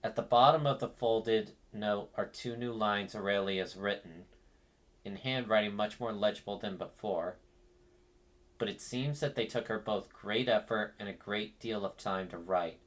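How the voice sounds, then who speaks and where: A man's voice: fairly low pitch, a little slow. A man reading game text aloud and commenting on it as a streamer.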